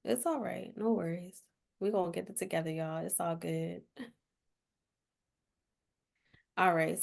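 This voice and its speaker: A woman's voice: on the low side, gravelly. A woman casually hosting a virtual panel of guests as its moderator. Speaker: host of author meet and greet.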